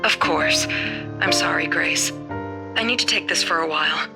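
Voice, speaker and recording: An actress voicing a caring, worried middle-aged mother who speaks gently in a visual novel. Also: deep voice, normal speed, creaky, loud music behind the voice. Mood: wistful, grateful.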